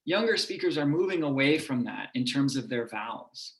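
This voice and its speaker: A man, a university professor, giving a measured, explanatory lecture to a public audience. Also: medium pitch, lively intonation. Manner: measured and explanatory, with occasional restarts. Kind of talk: lecture presentation. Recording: echoey room.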